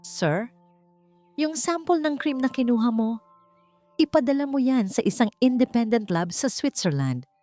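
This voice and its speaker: A woman's voice: a little high, animated, a little slow, warm tone, voice pushed up. A Filipino tycoon played by a woman narrator speaks with authority in an audiobook drama. He is determined and gives clipped orders, with music behind him. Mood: urgent, secretive. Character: commanding male billionaire, recovering and determined. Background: background music.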